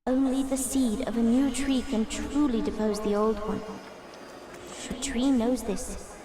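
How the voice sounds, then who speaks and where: A woman's voice: a little high, fairly even intonation, measured pace, close to an everyday voice. A woman playing a dying magical tree seed spirit in a fantasy audio drama, speaking in a formal, grave, earnest way. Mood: solemn.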